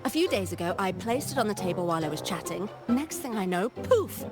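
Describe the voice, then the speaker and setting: An actress voicing a friendly, wistful, expressive young woman in a tavern in a video game, music over her. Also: medium pitch, a little fast, natural voice. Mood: rueful.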